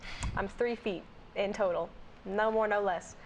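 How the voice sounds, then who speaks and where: A woman's voice: mid-range voice, steady tone, normal speed, a little hiss or hum. A woman playing a tabletop game, sassy and playful as she describes her character. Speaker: player.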